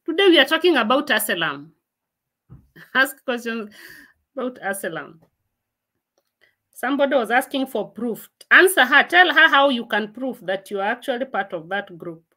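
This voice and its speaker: A woman's voice: a little high, very animated, normal speed, pauses often, pushed and bright. A woman in her forties hosting a casual livestream from a room at home, chatty and laughing often as she reads and answers viewers' comments on a laptop mic. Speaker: livestream host.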